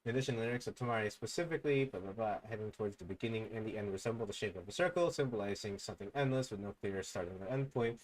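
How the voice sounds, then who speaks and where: A young man streaming, reading fan theories aloud in a low mumble and breaking into loud excited outbursts. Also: very fast, few pauses, low-quality recording.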